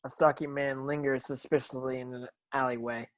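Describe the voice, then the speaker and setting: A man narrates stage directions from a script in a medium-pitched voice. He reads as an amateur, sometimes stumbling and going back over a word. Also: little pitch movement, a little fast, a bit bright. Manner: stumbling over words, rereading.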